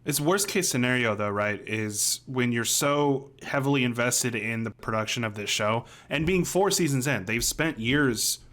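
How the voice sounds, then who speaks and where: Man, mid-pitched casual podcaster. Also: normal speed, few pauses, fairly bright tone. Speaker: podcast co-host. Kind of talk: casual chat. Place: home room, video call.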